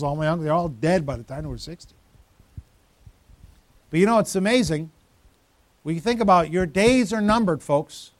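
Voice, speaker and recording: A man's voice: on the high side, dry recording. A pastor in his fifties giving a conversational sermon, teasing members of the congregation by name. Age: 50s. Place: church.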